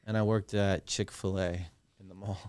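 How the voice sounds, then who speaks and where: A man's voice: sits low, little pitch movement, normal speed, a little mellow, no room sound. A man hosting a podcast who asks questions in a casual, chatty way and trades his own stories with his guest. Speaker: podcast host. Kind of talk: casual interview chat.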